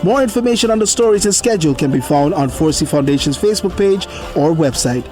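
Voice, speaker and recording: A man's voice: fairly high pitch, expressive, fast, mellow. A man reading a scripted closing announcement as presenter, with music behind him.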